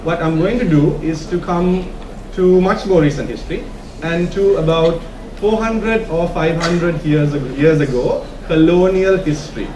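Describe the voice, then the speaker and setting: A man's voice: on the high side, a little slow, darkish tone. A man with a Sri Lankan accent giving an informal conference talk, often checking in with his listeners with short questions. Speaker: conference speaker. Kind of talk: informal presentation to an audience. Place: conference room, talk to a live audience. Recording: echoey room from far off, noisy.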